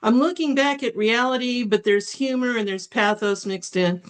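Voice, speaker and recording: A woman's voice: fairly high pitch, normal speed. Rambling woman asking on a call. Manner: rambling, self-correcting. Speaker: audience member. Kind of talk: casual question on a call.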